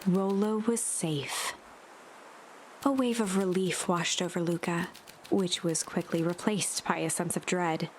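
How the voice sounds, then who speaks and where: A woman reading scripted, storybook-style narration for a video game playthrough, with expressive phrasing. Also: fairly low pitch, a little slow, a little hiss or hum, rough recording. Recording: prominent music under the voice.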